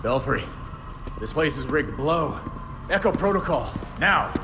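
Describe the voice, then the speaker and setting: A man's voice: fairly high pitch, expressive, measured pace. A man playing a wary, defiant crime-fighting hero in a video game cutscene. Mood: commanding, urgent. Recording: noisy crowd and vehicle ambience behind.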